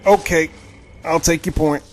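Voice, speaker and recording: A man's voice: fairly high pitch, steady tone, measured pace, natural voice. An actor playing a proud, indignant Southern crossbowman of the 1870s in an audio drama, with formal, theatrical speech. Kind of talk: audio drama with first-person narration.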